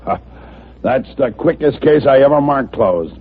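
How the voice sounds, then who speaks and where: An actor playing a gruff, impatient police lieutenant with sarcastic, barking delivery in an old-time radio drama. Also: male voice, mid-range voice, animated, a little slow. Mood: irritated. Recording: noisy, tinny old radio.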